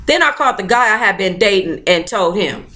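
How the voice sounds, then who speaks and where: A woman's voice: medium pitch, lively intonation, fairly quick, pushed and bright. A woman hosting a book club, reading aloud expressively with sassy, informal interjections of her own. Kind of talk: expressive read-aloud with playful ad-lib commentary.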